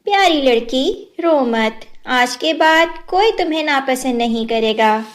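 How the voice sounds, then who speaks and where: A woman voicing a gentle, kind female fairy in a storybook tale, speaking slowly and soothingly. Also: sits high, animated.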